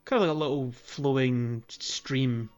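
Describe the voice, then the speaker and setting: A man's voice: medium pitch, unhurried, clean tone, soft background music. Casual male podcaster on dull lo-fi audio. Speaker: podcast co-host. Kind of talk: casual gaming podcast chat.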